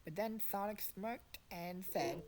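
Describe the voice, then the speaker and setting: A woman's voice: mid-range voice, takes it slowly, a crowd in the background. A woman narrating a story informally for friends, as an amateur reader with exasperated asides. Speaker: narrator of a fan fiction reading.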